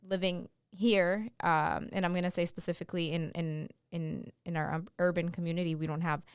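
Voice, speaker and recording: A young woman co-hosting a podcast, talking earnestly and haltingly with many fillers. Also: lowish voice, steady tone, clear, resonant tone, dry recording, clean studio sound. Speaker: podcast co-host. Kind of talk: casual chat.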